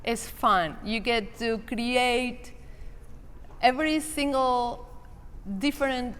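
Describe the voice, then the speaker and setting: A woman's voice: fairly high pitch, steady tone, slow pace, fairly bright tone. A woman from Argentina with an Argentine accent, speaking as a panelist in casual talk, with many hesitant fillers. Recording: reverberant convention hall with faint noise.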